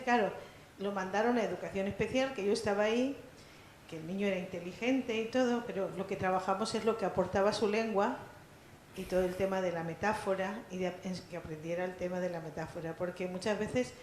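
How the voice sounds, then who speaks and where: A woman's voice: mid-range voice, darkish tone, slight background noise. A middle-aged woman, a former teacher, recounting a classroom anecdote with hesitations. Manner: storytelling with hesitations. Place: lecture hall. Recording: echoey hall.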